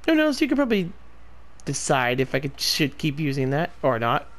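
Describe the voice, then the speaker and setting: A man's voice: fairly high pitch, huge pitch swings, normal speed, a bit bright. Rambling gameplay commentator man. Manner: rambling, talks to himself. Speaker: gameplay streamer.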